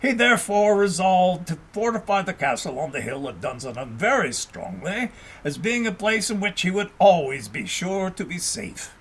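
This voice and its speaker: A man reading a historical tale aloud as an audiobook narrator, in a measured, expressive storytelling manner. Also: high voice, breathy edge.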